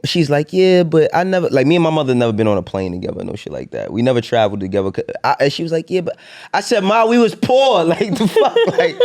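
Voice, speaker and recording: A man's voice: a little high, big pitch swings, fast talker, a little mellow. Man, 30s, casual chat, laid-back swearing, laughs a lot. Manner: loose and swearing, drifts into laughing.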